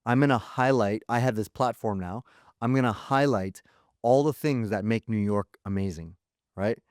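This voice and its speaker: A man's voice: medium pitch, normal speed, a little mellow, dry recording. Rambling man on a dry mic. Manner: rambling, many fillers like "you know" and "like". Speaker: podcast co-host. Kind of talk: casual chat.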